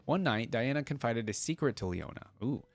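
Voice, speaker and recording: A man's voice: medium pitch, animated, a little fast, dry recording, faint music behind. A man hosting a reaction video in an informal way, reading text aloud and dropping in short casual asides. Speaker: host of a fan reaction channel.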